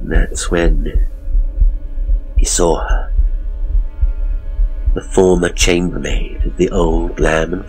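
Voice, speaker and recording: A man playing a pompous, theatrical ghost tour guide, telling gruesome tales with suspenseful, drawn-out phrasing in a horror audio story. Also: deep voice, poor audio.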